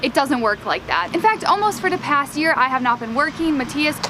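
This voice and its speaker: A woman's voice: high voice, animated, brisk, noisy background. Chatty young woman with Wisconsin accent. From United States, Wisconsin. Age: young adult. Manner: chatty, many "like" fillers. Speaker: vlogger. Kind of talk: casual Q and A chat to camera.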